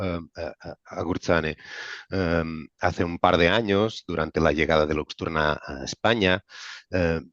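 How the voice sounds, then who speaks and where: A man with a non-native accent in Spanish, giving an informal explanatory webinar presentation as a medical director, speaking haltingly with frequent pauses and repeated words. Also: deep voice, a bit bright. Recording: dull, roomy webinar audio.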